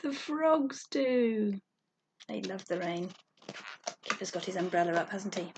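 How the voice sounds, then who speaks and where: A woman reading a picture book aloud to young children, animated and sing-song, drawing out words and asking questions. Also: mid-range voice, measured pace, gravelly, a little hiss or hum. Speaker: storytime reader for young children.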